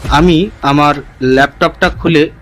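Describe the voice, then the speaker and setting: A man's voice: on the high side, fairly even intonation, unhurried, faint music behind. A man narrating a scripted first-person story for a cartoon as its storyteller.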